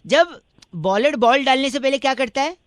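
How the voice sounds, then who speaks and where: A man's voice: extremely high pitch, lively intonation, moderate pace, dry recording. A man voicing a cheeky, pestering young prankster, teasing people on a radio prank call with mock politeness. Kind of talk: comic prank call banter with riddles.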